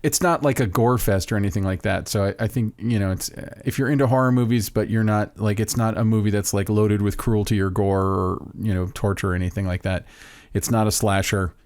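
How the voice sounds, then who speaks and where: A man's voice: fairly low pitch, steady tone, a little fast, a little mellow, dry recording. A man co-hosting a podcast, talking enthusiastically and rambling about a film he loves. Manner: enthusiastic, rambling, self-interrupting. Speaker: podcast co-host. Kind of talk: casual podcast chat.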